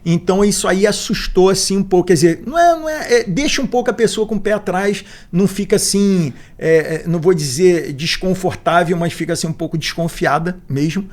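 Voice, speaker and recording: A man's voice: sits high, brisk, few pauses, a little mellow. Reflective Brazilian man in his forties. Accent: Brazilian Portuguese. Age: 40s. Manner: reflective, repeats words as he thinks, frequent "né?". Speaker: guest. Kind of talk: podcast interview.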